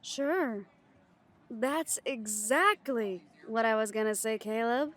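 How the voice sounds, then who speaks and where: High-voiced, sceptical werewolf packmate played by a woman. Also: takes it slowly, a bit bright. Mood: wry, exasperated. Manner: pointed, questioning, challenging. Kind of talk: audio roleplay drama.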